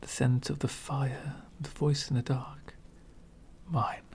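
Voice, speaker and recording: A man playing a lonely, courteous, centuries-old vampire in an ASMR roleplay, speaking formally and wistfully in soft, breathy whispers. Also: medium pitch, little pitch movement. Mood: gracious, wistful. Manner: formal, wistful, slow and deliberate.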